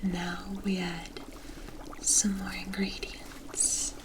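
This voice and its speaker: Kindly forest witch played by a woman for ASMR roleplay: slow, hushed whisper, soothing and formally spoken. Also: mid-range voice, completely flat delivery. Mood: gentle, confiding. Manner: gentle, reassuring, formal storybook phrasing. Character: kindly forest witch brewing a protective potion.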